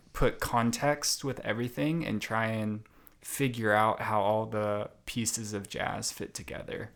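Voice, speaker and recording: A young man talks as a podcast guest in a low-pitched voice. He speaks very slowly and thinks aloud, with plenty of fillers and restarts. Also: a bit bright. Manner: thoughtful, unhurried, many fillers and restarts. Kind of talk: casual interview conversation.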